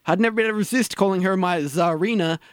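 A man's voice: high-pitched, fast, smooth, dry recording, clean studio sound, natural voice. A man playing a lonely, self-mocking middle-aged dungeon master in an audio sitcom, wry in manner. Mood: excited.

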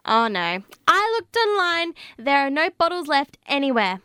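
A woman's voice: high voice, very animated, measured pace, bright, forceful tone, no room sound, clean studio sound, exaggerated voice. A woman playing an insecure, naive and eager young woman in a comedy audio drama, earnest and easily flustered. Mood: anxious, fretful.

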